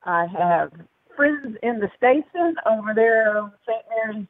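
Gravel-voiced woman hosting over the phone. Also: fairly high pitch, expressive, a little slow, no echo. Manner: chatty, hesitant with fillers. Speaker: radio show host. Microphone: phone line. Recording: tinny dry phone line.

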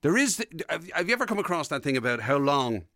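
A man's voice: on the high side, big pitch swings, fast talker, sharp tone, no echo. A man with an Irish accent co-hosting a radio show in casual, joking banter. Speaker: radio co-host. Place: radio studio. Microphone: close broadcast microphone.

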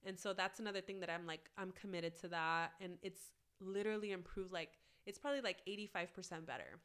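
A woman guest on a podcast, talking casually with lots of "like" fillers, her voice raspy from a cold. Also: fairly low pitch, fairly quick, fairly bright tone. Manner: chatty, many "like" fillers. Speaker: guest, plant-based cookbook author.

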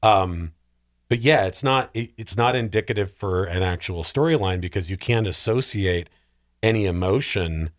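Low-voiced man in casual discussion. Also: normal speed, no room sound, studio quality. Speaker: podcast panelist. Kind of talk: casual film and game discussion.

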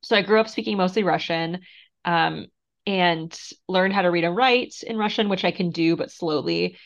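A woman in her thirties from Moldova, a guest author talking thoughtfully in an interview with soft laughs and fillers. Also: on the low side, normal speed, gravelly. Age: 30s. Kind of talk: casual interview conversation. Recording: muffled lo-fi sound.

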